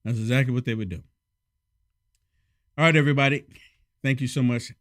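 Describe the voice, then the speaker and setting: A man hosting casual political commentary, sarcastic and emphatic, a little hoarse from a head cold. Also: mid-range voice, fairly even intonation, a little fast, fairly bright tone.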